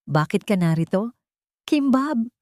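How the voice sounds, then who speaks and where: A woman's voice: a little high, sing-song, unhurried, warm tone, no room sound, studio quality, voice pushed up. A woman voicing a grieving, bitter young woman in an audio drama, solemn, wounded and resolute.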